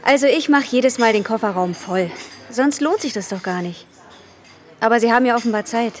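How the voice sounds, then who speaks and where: An actress playing a direct, inquisitive young waitress in a natural-sounding German radio drama. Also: female voice, on the high side, lively intonation, fairly quick, lightly acted. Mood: chatty, curious. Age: young adult.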